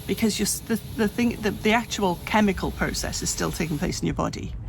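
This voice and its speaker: Gravelly woman in her late fifties. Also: medium pitch, brisk, a little hiss or hum. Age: 50s. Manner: rambling, frequent restarts and self-corrections. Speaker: vlogger. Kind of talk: candid personal vlog. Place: home, hot room.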